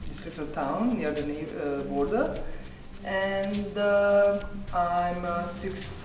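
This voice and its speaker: A woman's voice: fairly low pitch, slow pace, darkish tone. Woman, 40s, hesitant English, far echoey recording. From Slovenia. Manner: hesitant, searching for words. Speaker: volunteer interviewee. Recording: echoey, from a distance. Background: music.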